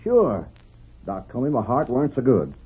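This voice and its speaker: An actor playing a folksy, ailing, gullible frontier husband with a rustic drawl in an old-time radio western. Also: male voice, medium pitch, wildly swooping pitch, moderate pace, slightly rough, full character voice. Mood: folksy, contented. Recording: tinny old radio broadcast recording.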